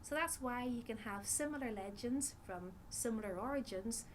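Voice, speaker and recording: A woman from Northern Ireland with a Northern Irish accent, a psychology researcher explaining ideas in a measured way with frequent ums. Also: on the high side, slight background noise. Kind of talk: explanatory interview talk.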